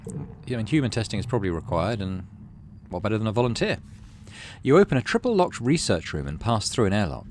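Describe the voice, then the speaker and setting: A man's voice: fairly low pitch, big pitch swings, brisk, a little mellow, slight background noise. A man hosting a gaming playthrough, mixing casual commentary with read-aloud story narration. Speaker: gaming channel host. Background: game music.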